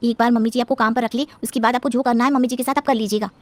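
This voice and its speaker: A woman's voice: a little high, steady tone, very fast, darkish tone, no echo, natural voice. A woman playing a harried, outspoken young working daughter-in-law in a family story, chatty and pert. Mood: pleading.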